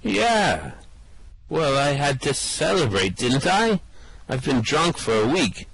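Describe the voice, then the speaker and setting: A man's voice: fairly high pitch, big pitch swings, slow, creaky, fairly bright tone, husky, no room sound, exaggerated voice. A man voicing a boastful two-headed space adventurer in an amateur radio play reading, swaggering and groaning through a hangover.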